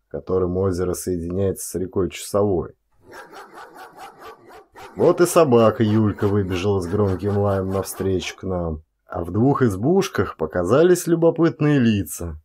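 A man narrating a literary short story as a dramatized audiobook, in a measured, expressive way. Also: fairly low pitch, a little hiss or hum.

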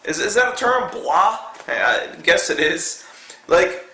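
A man's voice: sits high, big pitch swings, slow pace, pushed and bright, reverberant. A man in his twenties giving a casual, profane movie review, blunt and exasperated. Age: 20s. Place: indoor room in front of a dark backdrop.

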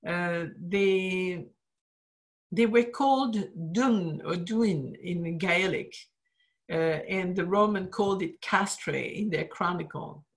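A woman lecturing over slides on art history, explaining steadily and pausing often with "uh" as she finds her words. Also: on the low side. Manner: explanatory, frequent "uh" pauses, trailing off at phrase ends. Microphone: screen-share talk, webcam in corner.

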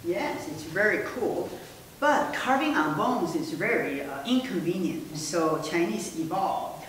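A woman presents indoors, friendly and gesturing, pausing on fillers as she explains. The mic sits far off, so her voice rings in a very echoey room. A faint hiss stays under it. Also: lowish voice, expressive. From Taiwan. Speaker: museum director presenting. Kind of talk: casual gallery presentation. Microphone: far camera mic.

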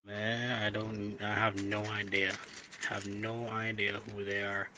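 A man playing a shocked, hesitant bar owner in an audio drama, halting and trailing off as he talks. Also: on the low side, completely flat delivery, close to an everyday voice. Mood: shaken, halting. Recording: clearly noisy background ambience.

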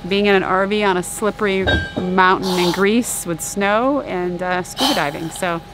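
Middle-aged mom vlogger, candid and self-mocking, mid pitch, loud music bed. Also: female voice, moderate pace, poor audio. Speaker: travel vlogger, mother. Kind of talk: casual family travel vlog.

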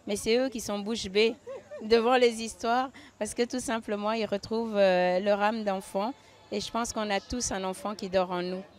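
A middle-aged woman from Cape Verde, raised in Senegal, calmly explaining her work in an outdoor interview with a handheld mic. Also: mid-range voice, moderate pace. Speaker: author interviewee. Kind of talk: interview conversation. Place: outdoor festival under a tent.

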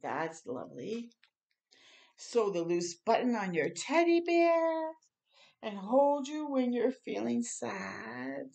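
An older woman hosting a children's storytime, reading a picture book aloud slowly and gently, pausing to react to the pictures. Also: a little high, big pitch swings, gravelly. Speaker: storytime host. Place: small room indoors.

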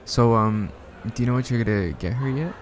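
A man acting a shy, smitten young man in an ASMR roleplay, speaking softly and hesitantly with bashful stammers. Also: medium pitch, brisk, a little hiss or hum, close to an everyday voice. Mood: eager, helpful. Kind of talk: ASMR roleplay, soft one-sided conversational acting.

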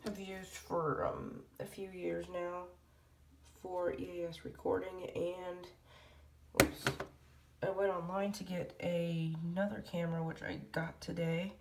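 A woman's voice: on the low side, flat delivery, slow pace, creaky. A woman giving a casual vlog update to viewers in a hesitant, rambling way, recorded on a handheld device in a room at home. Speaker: hobby video creator. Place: small room at home, indoors. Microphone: handheld camera or phone mic. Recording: roomy, distant mic, light noise.

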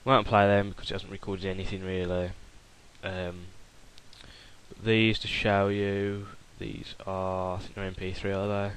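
A young man casually talking through a software tutorial while recording his screen, rambling with fillers and restarts. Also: low voice, level tone, unhurried, clear, resonant tone, rough recording.

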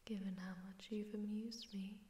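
A woman voicing a haughty, seductive sleep paralysis demon in a breathy, teasing whisper with an echoing distortion effect, for an ASMR audio roleplay. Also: mid-range voice, little pitch movement, mellow. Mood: flirtatious, intrigued. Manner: teasing, slow, flirtatious, condescending.

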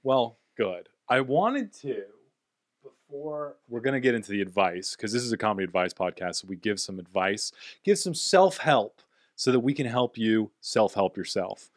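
A playful young man hosts a casual comedy podcast with a slightly low voice. He jokes and laughs easily, recorded close on a studio mic in a dry, dead room. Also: animated, normal speed, dry recording. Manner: playful, jokey, bursts of loud laughter. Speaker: podcast host. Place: small home podcast studio, indoors. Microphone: studio mic on boom arm.

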